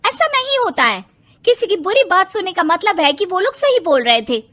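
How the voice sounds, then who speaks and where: A woman plays a feisty young wife from a cartoon moral tale. Her voice is very high and forceful. She is outspoken and scolding, quick to stand up for a friend. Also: big pitch swings, full character voice. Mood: indignant. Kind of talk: cartoon moral story acting.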